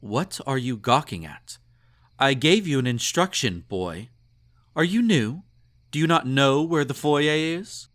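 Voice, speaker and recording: A man's voice: mid-range voice, animated, a little slow, a bit bright. A man playing a snobby, possessive emperor in an intimate romantic audio roleplay, indulgent and menacing, with a haughty, purring, condescending delivery. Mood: jealous, commanding.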